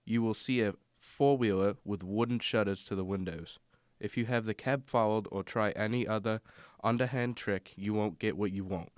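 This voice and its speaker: Man acting as measured, low-voiced gentleman companion. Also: clear, resonant tone, dry recording, studio quality, natural voice. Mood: formal reading. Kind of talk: radio drama narration and dialogue.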